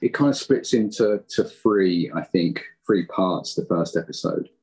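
A man giving relaxed interview answers as the writer of an audio drama. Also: deep voice, measured pace, slightly rough. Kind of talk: casual interview talk.

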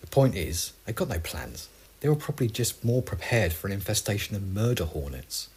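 A man's voice: fairly low pitch, big pitch swings, fairly quick, a little mellow, husky, full character voice. A man acting a blasé, sarcastic bickering husband in a zombie comedy audio drama. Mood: dismissive.

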